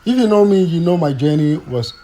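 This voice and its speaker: A man's voice: fairly high pitch, lively intonation, fairly quick, warm tone, slight background noise, poor audio. A man from Ghana chatting casually to his vlog audience outdoors, hesitant, with many fillers and restarts. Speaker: vlogger.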